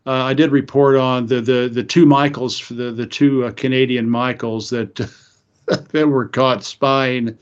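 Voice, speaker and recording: An American man from Eastern Oklahoma hosts a casual interview, halting and restarting as he thinks aloud. His voice sits at a middling pitch. The sound is slightly dull and lo-fi. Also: little pitch movement. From Eastern Oklahoma, United States. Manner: halting, many restarts, chuckles often. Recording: muffled lo-fi audio.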